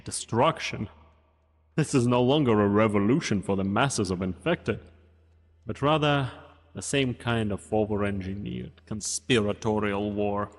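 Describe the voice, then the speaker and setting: A man in a fan dub of a game, playing a weary, guarded mercenary with a grave, measured, commanding delivery. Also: fairly low pitch, gravelly. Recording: long reverb on the voice.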